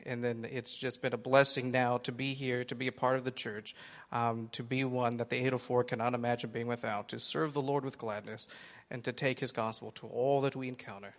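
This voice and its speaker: A man's voice: medium pitch, little pitch movement, a little fast. A man from Ohio introduces himself to a congregation in an earnest and grateful way, now and then stumbling over the start of a phrase. His mid-range voice carries through a large sanctuary with a long reverb. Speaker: pastoral candidate. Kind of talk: church introduction speech.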